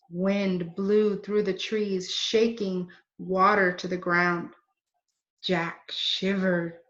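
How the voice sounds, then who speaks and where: A woman reading a children's book aloud in a deliberate, expressive way. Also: lowish voice. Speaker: read-aloud storyteller. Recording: roomy, dull lo-fi recording.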